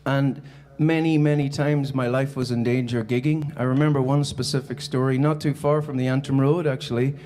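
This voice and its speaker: A man's voice: medium pitch, steady tone, normal speed, a little mellow. A man with a Northern Irish accent from around Belfast, speaking informally and conversationally as he tells personal stories in a live keynote talk. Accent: Northern Irish, Belfast area. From Northern Ireland. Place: on stage before a live audience.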